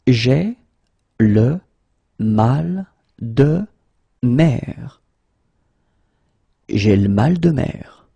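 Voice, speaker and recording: A man teaching French in a pronunciation drill, slowly repeating each phrase and then saying it word by word. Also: mid-range voice, expressive, gravelly, warm tone, breathy edge, no room sound.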